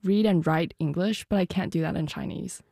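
Teen girl guest, soft and giggly, warm fry-tinged voice. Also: lowish voice, brisk, no echo, studio quality. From United States. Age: teens. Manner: soft-spoken, giggly, many "like" fillers. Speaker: guest musician. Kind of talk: casual interview.

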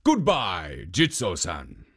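Sly storybook ogre, slow and slightly low, suspicious and falsely polite, a narrator putting it on. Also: wildly swooping pitch, slightly rough, full character voice.

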